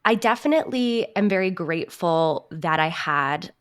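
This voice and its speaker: Female interview guest, slightly low register, conversational delivery. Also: lively intonation, moderate pace, smooth. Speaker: podcast guest, actor and writer.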